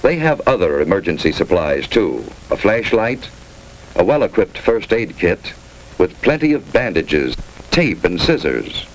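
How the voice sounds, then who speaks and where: A man's voice: sits low, sing-song, a little slow. A man with an American accent narrating a 1950s instructional film in clipped, authoritative instructions. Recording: noisy old 1950s film soundtrack.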